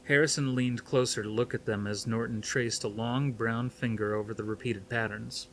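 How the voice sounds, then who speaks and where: A man's voice: mid-range voice, fairly even intonation, normal speed, a little hiss or hum. A man reading a novel aloud as scripted audiobook narration. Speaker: audiobook reader.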